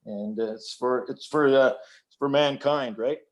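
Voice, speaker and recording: A man's voice: mid-range voice, moderate pace. An older man chatting casually as an interview guest, rambling in a folksy, self-deprecating way about his hobby. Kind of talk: casual chat.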